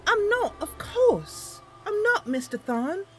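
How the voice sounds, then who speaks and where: A woman's voice: extremely high pitch, sing-song, slow pace, fairly bright tone, faint music behind, voice pushed up, heavily acted. A woman voicing an earnest, apologetic young female wood elf for a game roleplay, hesitant, naive and plain-spoken. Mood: flustered, reassuring.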